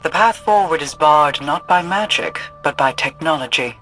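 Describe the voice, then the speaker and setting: A man's voice: a little high, expressive, moderate pace, fairly bright tone. A man playing a grave, commanding mystic war leader in a video game, with formal, portentous delivery. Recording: music behind the voice.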